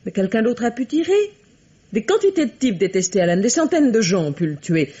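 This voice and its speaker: A woman's voice: mid-range voice, very animated, fairly quick, mellow, husky. An actress playing an evasive, coquettish woman suspect in a 1950s-style French radio drama, speaking hesitantly and coyly. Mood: flustered. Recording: dull, lo-fi vintage radio recording.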